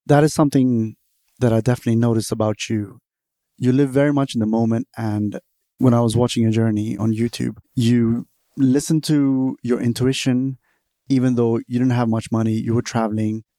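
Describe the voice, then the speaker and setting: A man's voice: mid-range voice, steady tone, moderate pace, mellow, no echo, studio quality. A man hosting a podcast, earnestly recapping his guest's journey before asking questions. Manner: earnest, recounts the guest's story at length. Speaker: podcast host. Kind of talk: interview questions.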